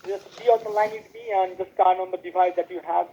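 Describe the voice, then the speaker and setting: A man cold-calling as a scam caller, pushing a scripted pitch and spelling letters out slowly over a phone line. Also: steady tone, mellow, a little hiss or hum. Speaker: scam caller posing as support.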